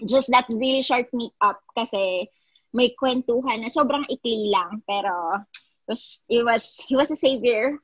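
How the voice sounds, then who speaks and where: A woman's voice: on the high side, moderate pace, dry recording, muffled recording. A woman in her twenties with a Filipino accent, chatting casually and switching between languages. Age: 20s. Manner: code-switching, chatty with fillers and laughs. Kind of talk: casual chat.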